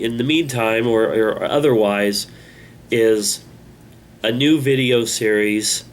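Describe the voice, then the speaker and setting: Stuffed-up guy rambling to his camera, mid pitch, bit of hiss behind. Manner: rambling, halting, self-critical. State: congested. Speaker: channel host. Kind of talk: casual vlog monologue.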